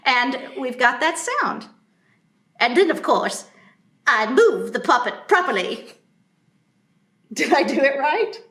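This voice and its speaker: A woman puppeteer casually demonstrating her craft, playful and quick to laugh. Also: high voice, sing-song, a bit bright, reverberant. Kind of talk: casual teaching demo.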